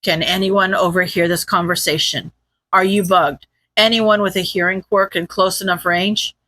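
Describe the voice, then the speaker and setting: Weary male hero in a story, played by a woman: lowered pitch, clipped urgent delivery, dry recording. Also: fairly even intonation, moderate pace, pushed and bright, no echo, rough recording. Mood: urgent, guarded. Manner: low, terse, serious. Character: tired, urgent male hero on a tense phone call.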